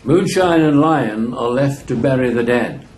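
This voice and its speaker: A man playing a dry, wry nobleman commenting in an amateur Shakespeare radio play, with measured delivery. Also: medium pitch, a little mellow, lightly acted. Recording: echoey room.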